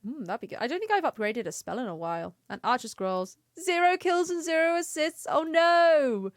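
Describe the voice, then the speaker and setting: A woman's voice: high-pitched, expressive, normal speed, dry recording, studio quality. A woman giving casual, chatty livestream commentary on a video game, playful and given to sing-song exclamations. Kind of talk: casual gaming stream commentary. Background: faint music.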